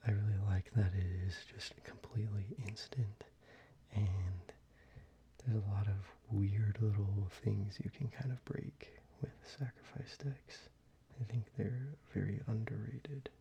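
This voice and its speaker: A man speaking in a soft, breathy whisper, gently rambling in a casual ASMR style. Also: lowish voice, steady tone, slow. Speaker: ASMR video creator. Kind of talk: soft-spoken ASMR, casual card-collection show-and-tell. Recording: muffled, with background hiss.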